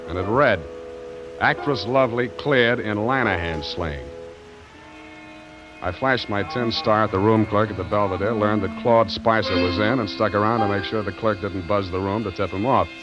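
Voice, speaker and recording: An actor playing a wry, deadpan hard-boiled private detective in 1940s radio noir style. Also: male voice, on the low side, moderate pace, lo-fi sound, close to an everyday voice. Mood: wry narrating. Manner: deadpan, sardonic. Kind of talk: 1940s radio noir acting and first-person narration. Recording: vintage radio broadcast, music underneath.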